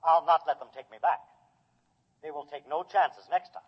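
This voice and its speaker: An actor playing a devoted, earnest robot servant in a mid-century radio drama, speaking in short, simple declarations. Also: male voice, a little high, steady tone, moderate pace, husky. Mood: earnest, reassuring. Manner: simple short declarative sentences, calls owner boss. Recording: dull old radio recording, long reverb.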